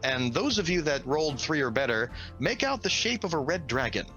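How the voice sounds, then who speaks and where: A man's voice: on the high side, very animated, brisk, sharp tone, faint music behind, rough recording. A man narrating a tabletop fantasy game as game master, telling it dramatically with casual asides.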